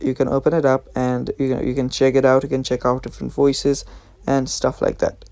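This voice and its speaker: A man's voice: medium pitch, dark tone, no echo. A man hosting a how-to video, narrating in a casual, informal way. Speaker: tutorial host.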